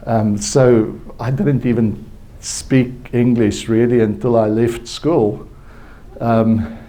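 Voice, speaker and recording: A man from South Africa, native Afrikaans speaker with a South African accent, telling a personal story at a conference in a halting, reflective way. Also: medium pitch, fairly even intonation, dark tone. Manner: slow, halting, reflective with frequent restarts. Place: conference hall.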